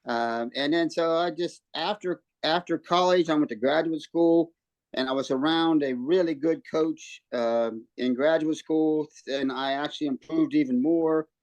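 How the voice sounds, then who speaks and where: A man in his seventies from West Virginia, a guest telling his story in a relaxed way from a hotel room. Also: a little high, unhurried, dry recording, rough recording. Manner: relaxed storytelling with many ums and restarts. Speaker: guest, former runner and coach. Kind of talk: casual interview chat.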